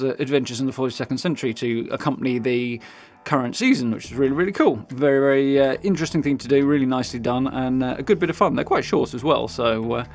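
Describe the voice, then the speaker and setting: A man with a British accent hosting a gaming news video in a chatty, upbeat, informal way, part commentary and part reading aloud. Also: mid-range voice. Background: background music.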